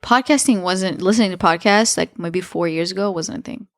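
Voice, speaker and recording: A woman's voice: on the low side, brisk, no echo, studio quality. A woman co-hosting a podcast in chatty, casual talk with lots of fillers. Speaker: podcast co-host. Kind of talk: casual chat.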